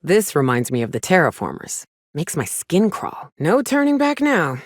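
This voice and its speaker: An actress voicing a wry, plain-spoken frontier huntress with a folksy, sardonic manner in a video game. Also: female voice, lowish voice, huge pitch swings, normal speed, no echo, studio quality. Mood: wary, sardonic. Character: gruff, wry frontier woman, monster hunter and loyal companion. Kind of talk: video game companion dialogue.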